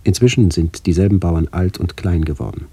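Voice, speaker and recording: An actor playing a brooding, melancholy middle-aged man who narrates a literary radio play in a measured, introspective way. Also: deep voice, mellow, breathy edge, dry recording. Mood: somber remembering. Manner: measured, introspective, poetic delivery.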